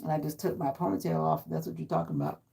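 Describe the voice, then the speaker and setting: A sleepy middle-aged woman, raspy from a sinus infection, chatting casually with viewers on a phone livestream from home and teasing them by name. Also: deep voice, fairly even intonation, fast talker, warm tone. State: sleepy and exhausted. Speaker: livestream host. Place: at home indoors.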